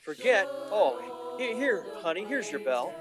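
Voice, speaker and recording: A man's voice: sits high, very animated, measured pace, over music. An amateur actor playing a grateful small-town husband and father in a radio play, exclaiming and heartfelt. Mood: overjoyed.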